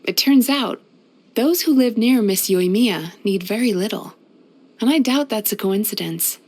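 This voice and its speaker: A woman voicing a gentle, wistful, centuries-old yokai healer in a video game, speaking softly in a measured, polite, reflective way. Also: fairly high pitch, animated. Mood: warm, admiring. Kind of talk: scripted video game character voice-over.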